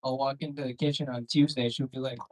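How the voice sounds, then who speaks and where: A man's voice: medium pitch, little pitch movement, fast. A Canadian man performing stand-up in a comedy club, rambling and conversational, with sardonic asides, swearing and crowd work.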